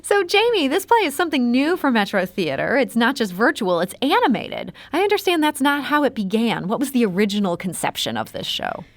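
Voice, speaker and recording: A woman's voice: a little high, big pitch swings, brisk, few pauses, dry recording. A woman hosting a conversational public radio interview, introducing guests and asking questions.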